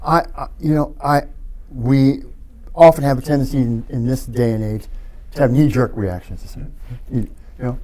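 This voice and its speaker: A man speaks in a middle-range voice as a podcast guest. He is reflective and halting, often circling back to restart a thought before he lands it. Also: mid-range voice, dark tone. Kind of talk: casual podcast conversation.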